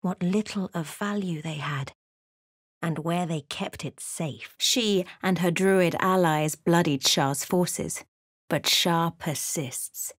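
A measured, wry fantasy adventuress, dry close sound. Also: female voice, on the low side, dry recording. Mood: measured, watchful. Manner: measured, literate, dramatic storytelling with wry asides. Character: observant young adventuress, a fantasy game companion recounting her journey. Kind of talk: video game voice lines, dramatic narration and remarks.

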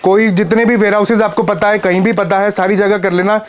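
A young man pitching wholesale clothing stock in a warehouse, insistent and eager to sell. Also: flat delivery. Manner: fast, insistent, pitching prices. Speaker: warehouse seller. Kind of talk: sales pitch. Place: large indoor clothing warehouse.

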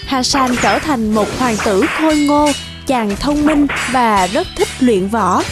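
A woman's voice: fairly high pitch, expressive, slow pace. A woman giving scripted narration of a fairy tale as the storyteller. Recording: music behind.

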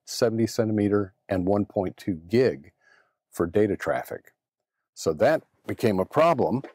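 A middle-aged man presenting a how-to in a measured, matter-of-fact manner. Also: lowish voice, darkish tone, no room sound, studio quality. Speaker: host presenting a radio installation.

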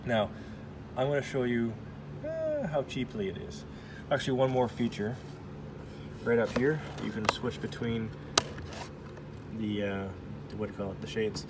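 A man casually reviewing his welding helmet, talking in a halting, rambling way with self-corrections and small jokes, recorded on a handheld phone in a workshop. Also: medium pitch, big pitch swings, very noisy. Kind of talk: casual off-the-cuff product review. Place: workshop, indoors. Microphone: phone camera held in hand.

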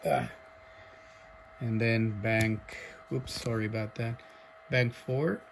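A man casually showing off a radio at a desk, thinking aloud with lots of fillers and pauses. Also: on the low side, level tone, background noise. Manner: slow, halting, many fillers, thinking aloud. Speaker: radio seller demonstrating a CB radio. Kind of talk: casual off-the-cuff demo. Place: indoor workshop at a desk.

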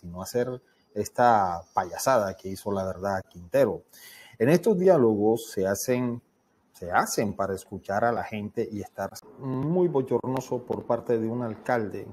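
A man's voice: mid-range voice, darkish tone. A man in his fifties giving informal, disapproving news commentary to camera from an indoor room. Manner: disapproving, colloquial. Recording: crowd noise behind voice.

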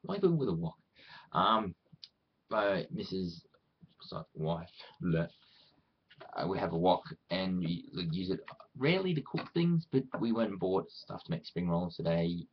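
A man's voice: deep voice, measured pace, breathy edge, highs cut off. A man with an Australian accent chatting casually in a rambling, self-deprecating way, as a vlogger talking to viewers. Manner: rambling, self-deprecating, often acting out imagined quotes. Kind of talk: casual chat to camera.

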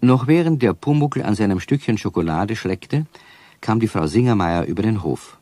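A man narrating a 1970s children's radio play as a measured storyteller. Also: fairly low pitch, expressive, mellow, no echo.

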